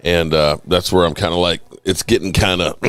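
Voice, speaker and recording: Man, low voice, hoarse and raspy, casual sports podcast host, dry close sound, throat-clearing. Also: lively intonation, unhurried, fairly bright tone, no echo. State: hoarse, sore voice.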